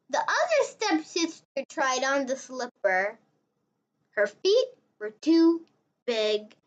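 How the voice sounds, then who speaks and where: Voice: very high-pitched, a bit bright. A child reading a children's fairy tale aloud, word by word like a beginner reader. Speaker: story reader.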